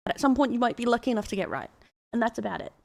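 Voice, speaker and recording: A woman's voice: fairly high pitch, sing-song, very fast, gravelly, dark tone, dry recording. Australian woman, chatty reaction commentary, laughs a lot, dry close sound. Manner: chatty, laughing often, amused by the posts. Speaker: VTuber commentator.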